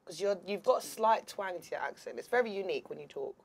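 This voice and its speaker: A woman hosting a casual interview, bubbly and quick to laugh. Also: mid-range voice, gravelly. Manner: bubbly, laughs often, animated storytelling. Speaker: interviewer host.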